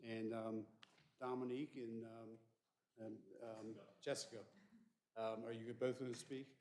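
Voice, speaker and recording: A man's voice: mid-range voice, level tone, a little slow, darkish tone. A man giving a short report at a town meeting, hesitant with many fillers. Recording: roomy with faint noise.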